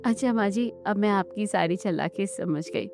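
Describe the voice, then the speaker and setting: A woman playing a young, questioning Indian daughter-in-law in a family cartoon, talking in an animated, pert way. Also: mid-range voice, normal speed, faint music behind, close to an everyday voice. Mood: cheerful. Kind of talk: Hindi family cartoon dialogue.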